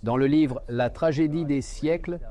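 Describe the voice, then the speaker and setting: Man, devotional voice-over, mellow tone, earnest. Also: fairly high pitch, measured pace, dull audio. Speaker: dub voice for a pastor's message. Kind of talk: scripted devotional message.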